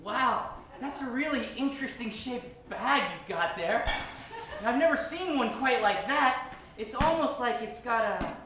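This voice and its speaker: Eager boyish sidekick, echoey and distant. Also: female voice, fairly high pitch, animated, background noise. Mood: curious. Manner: halting, stammering, earnest. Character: eager, naive young male sidekick, boyish. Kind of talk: old-time radio comedy acting. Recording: echoey stage, distant from mic.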